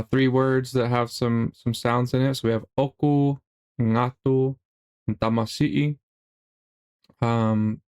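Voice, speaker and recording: A middle-aged man narrating a slideshow language lesson in a relaxed way, with many fillers and restarts. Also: mid-range voice, smooth. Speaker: language teacher.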